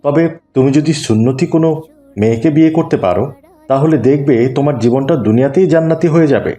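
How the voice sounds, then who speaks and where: Mellow, preachy pious neighbourhood uncle played by a man. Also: mid-range voice, quick pace, lightly acted. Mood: earnest admonishing. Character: kindly pious older neighbourhood man, patiently advising. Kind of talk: Islamic cartoon dialogue.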